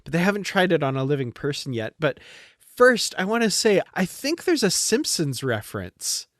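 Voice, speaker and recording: Higher-pitched man chatting as podcast co-host. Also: big pitch swings, moderate pace, dry recording, clean studio sound. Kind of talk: casual TV episode review chat.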